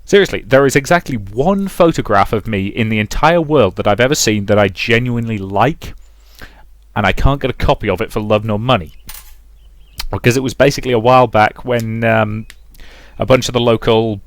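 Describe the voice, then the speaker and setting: Guy reading a visual novel out loud, chatty let's play style, really dry sound. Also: medium pitch, brisk, dry recording. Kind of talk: story narration with casual asides.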